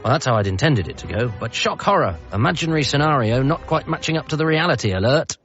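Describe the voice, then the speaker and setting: An actor playing a wry, self-deprecating man narrating his own story in a radio comedy drama, with deadpan asides. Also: mid-range voice, fast, lo-fi sound, faint music behind, lightly acted. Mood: wry, conversational.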